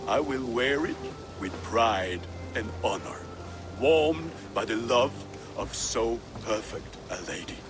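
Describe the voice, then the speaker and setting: An earnest Viking blacksmith, swooping and unhurried. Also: male voice, mid-range voice, animated, over music. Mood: tender, moved. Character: warm-hearted Viking blacksmith groom, devoted and sentimental. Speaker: video game voice actor. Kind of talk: dramatic game dialogue acting.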